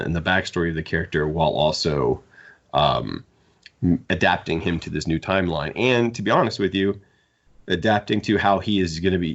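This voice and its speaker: Male podcast panelist, very deep register, halting conversational delivery with repeated words, slightly muffled sound. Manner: halting, repeats words while thinking aloud. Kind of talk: casual discussion. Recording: muffled, dull audio.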